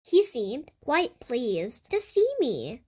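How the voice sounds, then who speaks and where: A woman reading a picture book aloud to children in an animated storytelling style. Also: fairly high pitch, slow, husky. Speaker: children's storybook reader and host. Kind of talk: animated read-aloud narration for kids.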